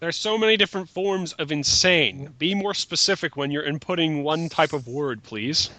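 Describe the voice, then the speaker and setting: A man's voice: fairly high pitch, normal speed, bright, forceful tone, dry recording. A man co-commentating casually, arguing points with crude humour.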